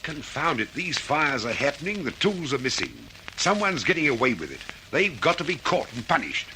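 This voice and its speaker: Animated, aggrieved company manager. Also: male voice, on the high side, moderate pace. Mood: indignant. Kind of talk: old-fashioned radio drama acting. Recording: radio drama with clear background noise.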